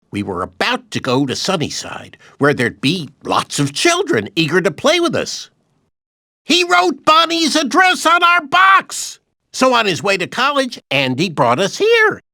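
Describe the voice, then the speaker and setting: A man's voice: high-pitched, bright, forceful tone, dry recording, studio quality, heavily acted. A man voicing an anxious, childlike toy dinosaur in a video game, earnestly retelling adventures with dramatic emphasis. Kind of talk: animated video game storytelling narration.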